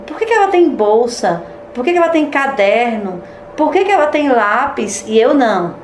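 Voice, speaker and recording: Woman administrator, firm testimony, bright-ish pitch, roomy sound. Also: big pitch swings, moderate pace, slight background noise. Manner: firm, reminiscing, rhetorical questions. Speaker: institution administrator. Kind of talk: interview testimony.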